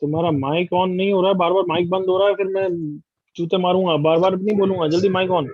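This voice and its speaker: A high-pitched man teaches an online class in a brisk, drilling way, firing questions at his students. The sound is dull and lo-fi, like a video call, and very dry. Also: steady tone, dry recording.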